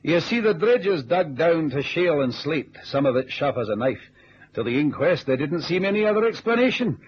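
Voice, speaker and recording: A man's voice: fairly high pitch, very animated, a little fast, husky. An actor playing an earnest Scottish ex-navy businessman in an old-time radio drama, on a muffled recording with hiss. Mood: grave, insistent. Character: earnest Scottish ex-navy businessman recounting deadly lake dives.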